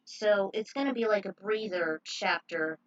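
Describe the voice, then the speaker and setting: A woman narrating her own story casually, pausing for halting, informal asides to viewers. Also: medium pitch, lo-fi sound, background noise. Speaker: fan fiction author narrating own story.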